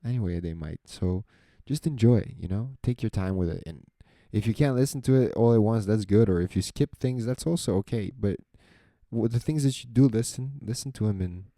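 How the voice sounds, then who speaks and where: Soft-spoken young man, slow and reflective. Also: on the low side, no room sound. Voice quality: soft soothing voice. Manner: slow, introspective, rambling. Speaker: podcast host. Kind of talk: reflective solo monologue. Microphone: close podcast microphone.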